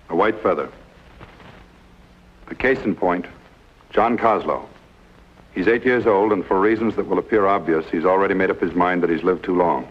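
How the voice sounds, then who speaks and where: A man narrating a 1950s television medical drama in clipped, sober, deliberate phrases. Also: fairly low pitch, slight background noise, lightly acted. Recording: muffled vintage TV soundtrack with music.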